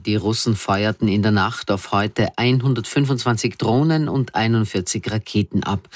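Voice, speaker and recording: A man reading scripted news in simple language in a measured, structured manner. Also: on the low side, no room sound, clean studio sound. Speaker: newsreader.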